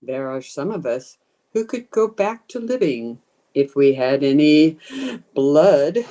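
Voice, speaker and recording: A woman's voice: low voice, expressive, mellow. A woman playing a menacing, commanding undead ghost hungry for blood, in old time radio horror style. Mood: eerie, hungering. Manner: slow, commanding, taunting. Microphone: video call.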